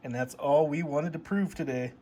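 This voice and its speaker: A man's voice: fairly high pitch, normal speed, warm tone, a little hiss or hum. A middle-aged American man chatting casually and nostalgically, in a rambling show-and-tell style. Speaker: hobbyist vlogger showing a CB radio.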